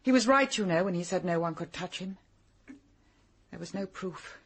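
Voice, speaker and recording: A woman's voice: lowish voice, normal speed, slight background noise, natural voice. An actress playing a bitter, vengeful mature mother in a radio drama, blunt and intense.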